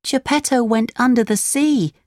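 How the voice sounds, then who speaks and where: A woman's voice: fairly high pitch, moderate pace, a little mellow, voice pushed up, natural voice. A woman voicing a childlike, anxious wooden puppet boy who pleads and questions in a children's storybook. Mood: worried, sad. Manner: pleading, wide-eyed questioning.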